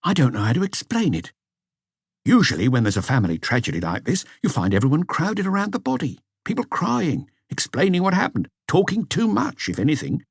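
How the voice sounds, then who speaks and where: A man's voice: on the high side, sing-song, brisk, vocal fry, breathy edge, no room sound, studio quality, voice pushed up, heavily acted. A man voicing a hesitant, deferential police inspector giving a report in an audiobook. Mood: uneasy, puzzled. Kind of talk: audiobook character dialogue.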